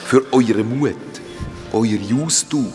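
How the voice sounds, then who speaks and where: A man's voice: mid-range voice, slow, over music, natural voice. A man with a Swiss German accent playing a kindly, solemn guide spirit in a children's radio play, speaking soothingly and ceremoniously. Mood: warm, inviting.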